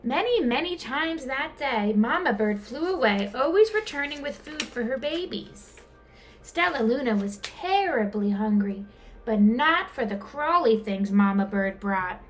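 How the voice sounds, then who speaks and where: A woman reading a children's storybook aloud in an expressive, dramatic way, drawing out words. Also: high-pitched, unhurried. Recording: clear background noise.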